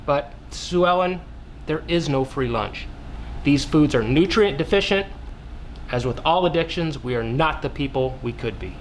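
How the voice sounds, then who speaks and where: A man's voice: fairly high pitch, lively intonation, normal speed, slight background noise, rough recording. A man playing an earnest researcher, speaking in a didactic way as in a scripted interview drama. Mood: earnest, instructive. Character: earnest widowed male researcher preaching plant-based eating. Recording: music playing behind the voice.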